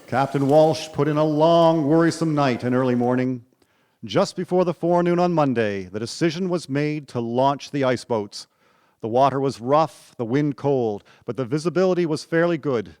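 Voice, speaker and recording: A man's voice: medium pitch, moderate pace, darkish tone, no echo, clean studio sound. A man narrating a historical radio drama in scripted storytelling style. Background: music.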